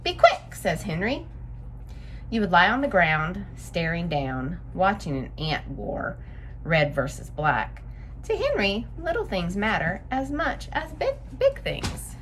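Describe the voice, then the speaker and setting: A woman hosting story time, reading aloud and explaining things simply to young listeners in an expressive, instructive way. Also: fairly low pitch, slow, slight background noise.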